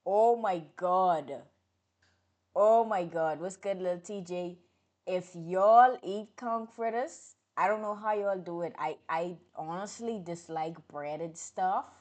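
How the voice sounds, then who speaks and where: A woman with a fairly low voice chats casually with her livestream viewers. She is outspoken and animated, flaring into loud outbursts and then sinking back to low, muttered asides. It sounds like a casual stream from a computer or phone mic. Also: slow. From Bahamas.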